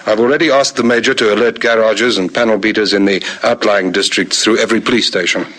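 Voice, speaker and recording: An actor with a South African accent playing a diligent, respectful police lieutenant in a vintage radio drama, brisk and formal. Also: male voice, fairly low pitch, fairly even intonation, fairly bright tone. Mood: businesslike, dutiful.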